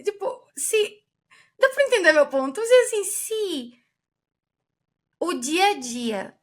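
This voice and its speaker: A Brazilian woman co-hosting a podcast, speaking animatedly and emphatically in casual chat. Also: high-pitched. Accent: Brazilian Portuguese. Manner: animated and emphatic, lively rises. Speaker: podcast co-host.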